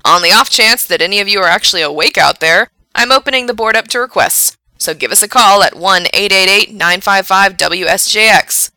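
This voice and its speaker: A woman playing an excitable, chatty young male late-night radio DJ in a story, enthusiastic and teasing. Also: medium pitch, a little fast, few pauses, no room sound, low-quality recording. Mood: upbeat, projecting. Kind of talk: radio DJ patter in an audiobook story.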